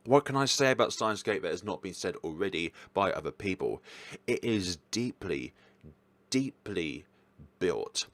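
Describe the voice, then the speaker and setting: A man's voice: low voice, animated, measured pace, a bit bright, dry recording. A man hosting a casual, chatty ranking of games, rambling and correcting himself as he goes, with an enthusiastic, hesitant manner. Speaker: host reviewing visual novels.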